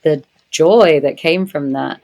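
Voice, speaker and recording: Deep-voiced woman, reflective interview guest. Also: slow. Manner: reflective, earnest, animated when telling stories. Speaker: podcast guest, medicine woman. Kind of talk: conversational interview.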